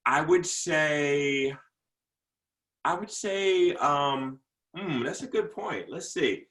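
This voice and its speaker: A man being interviewed as a motivational speaker. He talks earnestly, often repeats words as he gathers his thoughts, and slows down and goes lower when he talks about faith. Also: animated, a bit bright. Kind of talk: casual interview talk.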